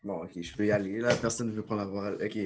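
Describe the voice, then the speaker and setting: A man's voice: fairly low pitch, fast, noisy background. A young man with a Québécois French accent, a student guest chatting relaxedly on a video call. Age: young adult. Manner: relaxed, chatty, frequent "euh" and "là". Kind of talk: casual interview over video call.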